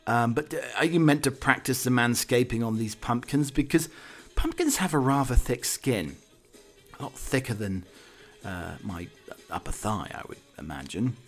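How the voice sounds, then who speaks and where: A man's voice: medium pitch, lively intonation, moderate pace, faint music behind. A man hosting a comic talk on the week's news, wry and digressive, with hesitant asides. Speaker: podcast host.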